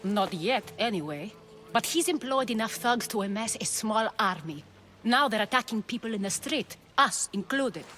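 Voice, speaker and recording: An actress playing a self-assured, shrewd leader of ancient Greek courtesans in a video game, speaking in a measured, formal way. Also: female voice, mid-range voice, lively intonation, fairly bright tone, slight background noise, lightly acted. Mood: determined. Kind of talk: video game cutscene acting. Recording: music behind the dialogue.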